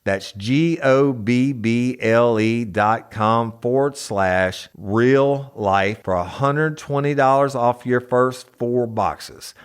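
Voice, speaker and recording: A man's voice: mid-range voice, slow pace, few pauses, clear, resonant tone. A man hosting a podcast reads a sponsor ad in a gushing, hyped-up way. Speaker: podcast host reading an ad. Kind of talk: enthusiastic host-read ad.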